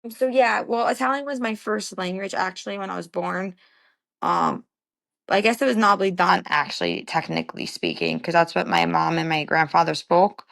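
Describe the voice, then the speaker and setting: A woman's voice: lowish voice, normal speed, creaky, fairly bright tone. A young woman from the Bronx with a New York accent, rambling casually as an interview guest and filling her talk with "like". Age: young adult. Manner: rambling, many fillers like "like".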